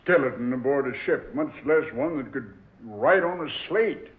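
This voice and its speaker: An actor playing a stern, commanding ship captain who gives gruff orders, in 1940s radio acting style. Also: male voice, medium pitch, lively intonation, normal speed, natural voice. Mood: brooding. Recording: tinny old radio recording.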